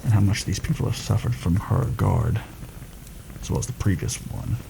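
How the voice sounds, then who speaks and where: Seasoned assassin played by a man, ASMR roleplay: deep, gravelly, hushed, wry and measured; noticeably noisy recording. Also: fairly even intonation. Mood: dry, conspiratorial. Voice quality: gravelly, creaky. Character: seasoned, wry rebel assassin planning a palace heist. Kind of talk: soft-spoken ASMR roleplay. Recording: noticeable background noise.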